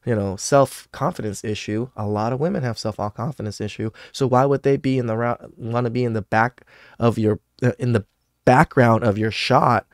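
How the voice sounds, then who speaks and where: Guy in his 30s reacting to gym clips on his podcast, blunt and swears a lot, mid pitch, dry sound. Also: fairly even intonation, normal speed, no room sound. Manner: blunt, profane, scolding asides. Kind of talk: casual reaction commentary. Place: home studio with purple lights.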